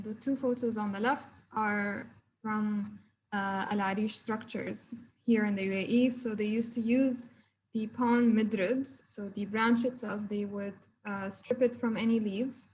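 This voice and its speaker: A woman's voice: mid-range voice, steady tone, takes it slowly, darkish tone, slight background noise, low-quality recording. A young woman from Egypt, a furniture designer, giving an online presentation of her work with many fillers and restarts. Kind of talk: online presentation with shared screen. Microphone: video call.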